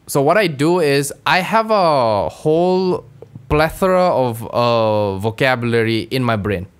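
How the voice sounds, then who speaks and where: A podcast co-host chatting casually, a man with an ordinary middle-pitched voice. He stammers and repeats words, circling back to finish his thought. His sentences come out in stops and restarts. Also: animated, a little slow, clear, resonant tone. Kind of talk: casual chat.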